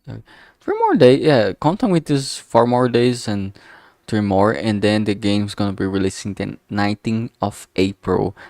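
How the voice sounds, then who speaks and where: A man thinking aloud in a halting way, chatting casually on a solo livestream as he works. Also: lowish voice, darkish tone, no room sound, clean studio sound. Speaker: streamer making a visual novel. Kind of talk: casual solo livestream chat while working.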